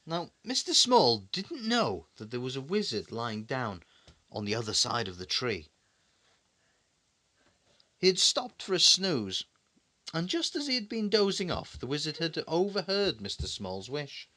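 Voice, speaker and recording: A man's voice: a little high, huge pitch swings, measured pace, sharp tone. A man reading a bedtime story to his young child in a conversational way, with questioning asides and dramatic repetition.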